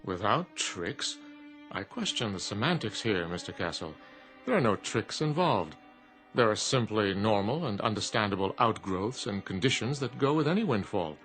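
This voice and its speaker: An actor playing a sly genie in a radio drama, speaking in a formal, measured and precise way. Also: male voice, lowish voice, expressive, a bit bright, soft background music. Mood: sly, patient. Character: sly, smooth-talking genie granting wishes with relish.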